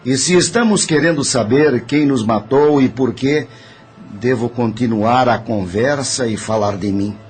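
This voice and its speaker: Guy playing a greedy brazilian schemer, dead and confessing, cold calculating, mid-pitched voice, faint music behind, audio drama. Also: a little slow, natural voice. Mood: coldly confessing. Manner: matter-of-fact, calculating.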